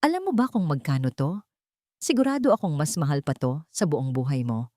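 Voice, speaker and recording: A woman's voice: low voice, sing-song, fairly quick, warm tone, no echo, clean studio sound. A woman voicing an arrogant, irritable rich young man in an audio story, scornful and dismissive.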